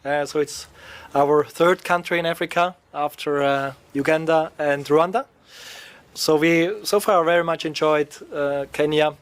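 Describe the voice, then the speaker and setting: A man's voice: on the high side, steady tone, a little slow, smooth. A man from Switzerland, a radio interview guest, talking hesitantly with many filler sounds. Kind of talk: interview answers.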